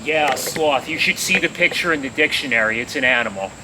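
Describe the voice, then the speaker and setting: Man acting offended slow-witted cop, medium pitch, plodding, indignant, radio comedy relief, very noisy old recording. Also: expressive, natural voice. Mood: affronted.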